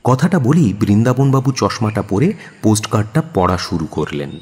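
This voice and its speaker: Male audio-story narrator: low, husky, expressive dramatic reading, reverberant sound. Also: normal speed.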